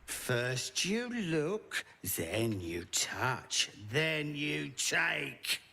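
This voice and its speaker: A man playing a menacing, gloating raider creature in a game, with a taunting, forceful delivery. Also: a little high, sing-song, very slow, creaky, exaggerated voice. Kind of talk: scripted game cutscene acting.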